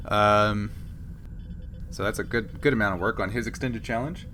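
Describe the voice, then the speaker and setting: A man's voice: lowish voice, steady tone, normal speed, pushed and bright, a little hiss or hum. A man running a tabletop role-playing game, casually giving rulings and narrating. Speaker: game master. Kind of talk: casual rules talk and narration.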